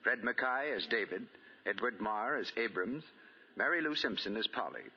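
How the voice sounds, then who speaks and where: A man's voice: on the low side, expressive, moderate pace, husky. An American man working as a 1940s radio announcer, reading copy with polished, emphatic phrasing on a dull old recording.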